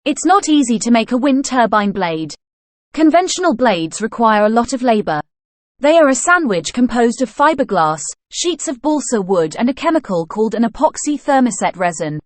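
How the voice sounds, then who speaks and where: A woman's voice: on the high side, sing-song, moderate pace, no echo. A woman reading an informational passage aloud from a script as a model for language practice. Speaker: read-aloud model reader.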